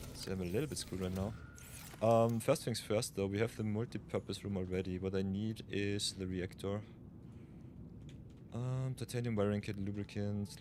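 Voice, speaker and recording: Casual game streamer, low voice over steady background noise, talking to himself and chat. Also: male voice. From Austria. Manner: mutters plans to himself, chats with viewers. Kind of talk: casual live-stream commentary.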